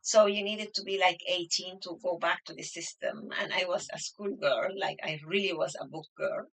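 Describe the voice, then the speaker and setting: A woman's voice: fairly low pitch, normal speed, a bit bright, rough recording. A woman in her sixties, raised in Lebanon, telling her life story as an interview guest, with restarts and fillers. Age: 60s. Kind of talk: conversational interview.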